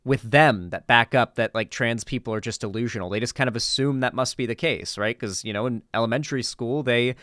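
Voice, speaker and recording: A man's voice: medium pitch, a little fast, hardly any pauses, a bit bright, no room sound, clean studio sound. A man giving casual, unscripted political commentary in a blunt, emphatic way with casual swearing.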